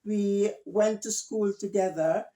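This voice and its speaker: Slow, mellow older woman from Jamaica. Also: medium pitch, steady tone, low-quality recording. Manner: hesitant, gentle, repeats words. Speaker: guest. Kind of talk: casual family interview.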